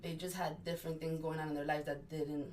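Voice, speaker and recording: Low-voiced young woman, distant mic. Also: flat delivery, a little fast, a crowd in the background. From Miami. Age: young adult. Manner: chatty, finishes her partner's sentences. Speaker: business co-founder, guest. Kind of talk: casual interview. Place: university library. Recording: distant mic with faint room noise.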